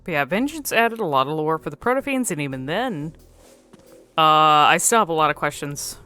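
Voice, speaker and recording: A woman giving casual, musing commentary on a game, often pausing and repeating words as she thinks. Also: sits low, expressive, pushed and bright, clean tone, soft background music. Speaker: video creator commentating on a game.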